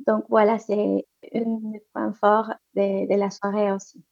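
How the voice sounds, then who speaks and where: A woman's voice: mid-range voice, little pitch movement, slow pace, gravelly, a little mellow. A woman answering interview questions about women's entrepreneurship, speaking hesitantly with many fillers and restarts. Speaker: interview guest, economic development representative. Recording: muffled lo-fi sound.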